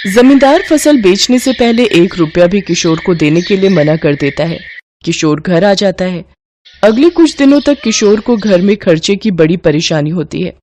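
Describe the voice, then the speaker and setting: A woman's voice: medium pitch, expressive, normal speed, a little mellow, slight background noise. A woman narrator reading a scripted moral story for children.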